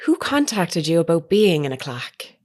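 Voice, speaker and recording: An actress playing an earnest, persistent woman reporter in an audio drama, formal, measured and insistent in a naturalistic style. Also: on the low side, sing-song, a little mellow. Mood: probing.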